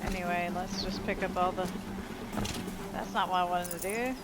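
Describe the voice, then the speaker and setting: A woman's voice: lowish voice, fairly even intonation, moderate pace, heavy background noise. A woman giving casual, offhand, rambling commentary as she plays a video game. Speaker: video game let's player. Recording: loud game music behind voice. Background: loud game music and effects.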